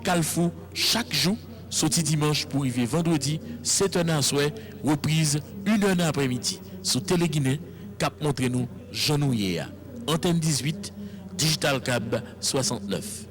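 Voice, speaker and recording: A man's voice: fairly high pitch, a little slow, a crowd in the background. Male announcer in a station promo. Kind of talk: broadcast promo.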